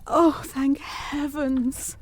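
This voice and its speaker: A young governess in a gothic ghost story. Her voice sits a little high, her phrasing is formal and literary, and she sounds earnest, intense and anxiously determined. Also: flat delivery, extremely slow, slight background noise. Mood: tense, urgent. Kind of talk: audio drama acting of Victorian gothic ghost story.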